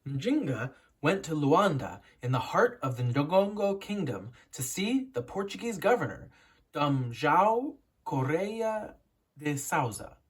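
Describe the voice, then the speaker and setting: Children's story reader, husky edge, animated intonation, instructive, deliberate emphasis, educator's read-aloud style. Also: medium pitch. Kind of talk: expressive picture book read-aloud.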